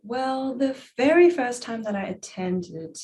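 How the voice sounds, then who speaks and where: A young woman from Taiwan, a guest musician, chatting in an interview, soft-spoken and halting with many fillers and restarts. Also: on the high side, lively intonation. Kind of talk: casual interview chat.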